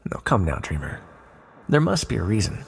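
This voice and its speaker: A man playing an ancient sealed villain in an ASMR roleplay, speaking hesitantly and courteously in gentle, secretive persuasion with a gravelly voice. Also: on the low side, wildly swooping pitch, normal speed, exaggerated voice. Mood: gently probing.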